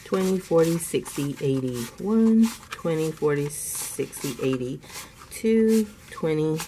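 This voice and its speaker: Low mellow congested woman vlogger. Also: expressive, takes it slowly, slight background noise, low-quality recording. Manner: chatty, laughs often, counts bills aloud. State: congested from asthma, clearing throat. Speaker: budgeting channel host. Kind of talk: casual budgeting vlog, counting cash.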